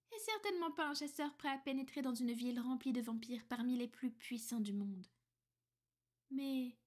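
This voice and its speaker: Woman acting as an ancient female vampire, high breathy voice, soft and formal, affectionate, protective, half-whispered ASMR roleplay. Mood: worried, tender. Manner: soft-spoken, formal, measured, drifting into whispers. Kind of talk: French ASMR girlfriend roleplay.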